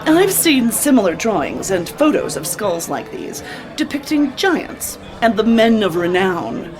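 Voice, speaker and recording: A flirtatious woman of the occult, knowing and theatrical, in an audio drama. Her pitch is mid-range and swoops freely, with prominent music underneath. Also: big pitch swings, a little slow. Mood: mysterious, storytelling.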